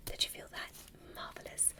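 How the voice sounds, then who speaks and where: A woman voicing a calm, curious alien doctor in an ASMR roleplay, speaking in a gentle, breathy whisper with an unhurried, formal manner. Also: close to an everyday voice. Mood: curious, clinical. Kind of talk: ASMR medical exam roleplay.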